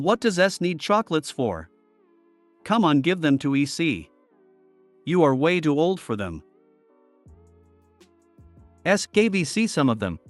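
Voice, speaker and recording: Male narrator for reddit story readings, higher register, dry close mic, faint music bed. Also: dry recording. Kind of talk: scripted narration reading posts.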